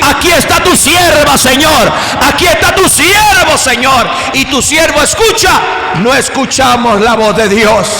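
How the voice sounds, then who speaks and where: A man preaching an impassioned evening sermon in a church, shouting most lines and dropping lower in between. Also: noisy background. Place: church sanctuary. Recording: echoey church hall with long reverb.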